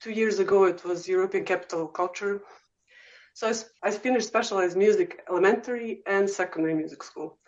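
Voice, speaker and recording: A woman with a Serbian accent speaks at a middle pitch in a loose, chatty way, filling pauses with "um" and "uh." She sounds like a guest sharing her own story. The audio is slightly muffled and lo-fi. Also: a little mellow. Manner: chatty, frequent "um" and "uh", laughs easily. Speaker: guest oboe professor. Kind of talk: casual interview storytelling.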